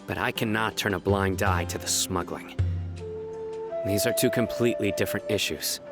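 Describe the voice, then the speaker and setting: An actor playing a stern, serious young male investigator who speaks in a measured, firm, grave way. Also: on the low side, over loud music, natural voice.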